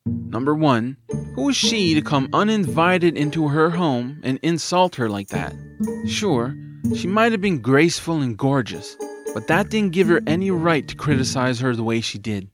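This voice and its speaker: A man's voice: fairly high pitch, very animated, normal speed. Male story narrator with music behind.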